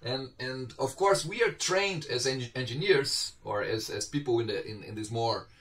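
A man's voice: medium pitch, moderate pace, a bit bright. A middle-aged man with a Brazilian Portuguese accent gives an informal online university lecture, conversational and often checking in with his students. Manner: conversational, questions students often, gestures while talking. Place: home office with bookshelves. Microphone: webcam on a video call. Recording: slightly distant video-call audio.

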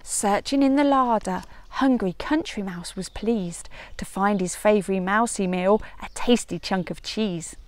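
A middle-aged woman reading a children's storybook aloud outdoors in an expressive, measured teacher's way. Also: mid-range voice. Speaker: early years teacher. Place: outdoors in a leafy woodland garden.

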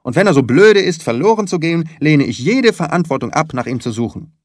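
Audiobook role: cantankerous, miserly little old nobleman , acted by a male reader, screechy, raised pitch, commanding and very animated. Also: brisk, dry recording, studio quality. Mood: indignant, miserly. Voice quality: sharp, screeching. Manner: tense, commanding, indignant. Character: quarrelsome, stingy, twitchy little old aristocrat. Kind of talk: dramatised audiobook reading.

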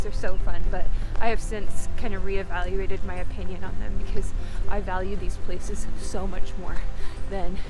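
A woman's voice: medium pitch, low-quality recording. A middle-aged woman hosting a hiking vlog, chatting casually to the camera outdoors on a trail.

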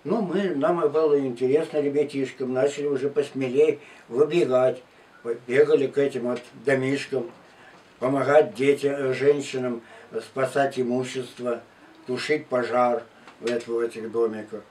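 A man in his nineties from Russia, slowly and haltingly recounting his life story in an interview. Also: mid-range voice, steady tone, a little mellow. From Tula region and Moscow outskirts, Russia. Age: 92, elderly. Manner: halting, unhurried, with restarts and pauses. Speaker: war veteran interviewee. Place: living room at home, indoors. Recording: distant mic, faint room noise.

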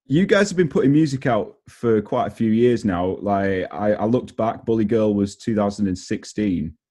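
A man's voice: fairly low pitch, lively intonation, moderate pace, mellow. A young man interviewing in a casual, chatty way over a video-call headset. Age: young adult.

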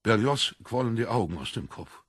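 A man's voice: lowish voice, lively intonation, moderate pace, fairly bright tone, breathy edge, no echo, clean studio sound. A man narrating a literary audiobook from a script.

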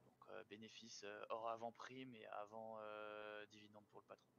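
Gravelly-voiced man explaining tax procedures. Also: fairly low pitch, flat delivery, moderate pace, a little hiss or hum. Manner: patient, methodical, many fillers, drops to quiet asides. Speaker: financial officer in a roleplay game. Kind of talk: roleplay dialogue, explaining procedure.

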